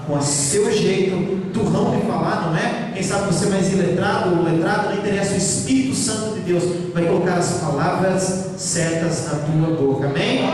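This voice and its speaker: A high-voiced man preaching with passion in a church hall, recorded from far off, with a long, booming echo. He swings between rising exhortation and quiet asides, and acts out the biblical figures as he tells their words. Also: slight background noise. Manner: impassioned, rising and falling, acts out biblical speech. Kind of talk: sermon.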